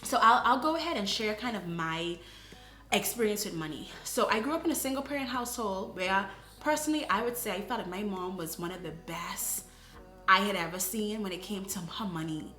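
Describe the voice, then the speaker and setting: A woman's voice: mid-range voice, lively intonation, fairly quick, sharp tone, echoey room, faint music behind. A woman, earnestly telling her personal story in a casual vlog and often tossing in "right?". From Bahamas. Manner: reflective, earnest, frequent "right?" asides. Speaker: vlogger.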